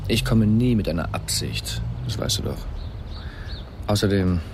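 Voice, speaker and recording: A man's voice: fairly low pitch, expressive, a little slow, background noise. An actor playing an ironic, playful womaniser in his thirties in a radio drama, bantering and teasing.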